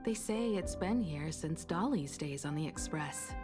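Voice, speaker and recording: An actress playing a composed, gentle, dryly teasing grown daughter in a video game, soft-spoken and measured. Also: female voice, sits low, animated, music in the background. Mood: calm, informative.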